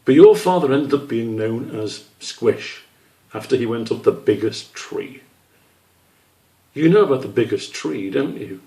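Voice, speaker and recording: A man's voice: mid-range voice, expressive, a little slow, vocal fry, dark tone. A man voicing a proud, long-winded father squirrel in a children's story, explaining things with emphasis.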